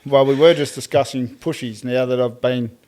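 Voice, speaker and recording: A man's voice: mid-range voice, steady tone, moderate pace, a little mellow. An Australian man co-hosting a casual podcast chat, laid-back and teasing, laughing often. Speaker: podcast co-host.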